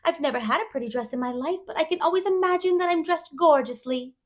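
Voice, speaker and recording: A woman's voice: high-pitched, fast talker, voice pushed up, natural voice. A woman voicing a talkative, dreamy eleven-year-old orphan girl with effusive wonder and dramatic emphasis, in a storybook reading. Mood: delighted, wistful.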